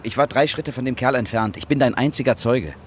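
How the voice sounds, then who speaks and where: A man's voice: medium pitch, a little fast, a little hiss or hum, close to an everyday voice. An actor playing an earnest newspaper reporter and war veteran in a radio drama, hesitant yet insistent in his questioning. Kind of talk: naturalistic German radio drama acting.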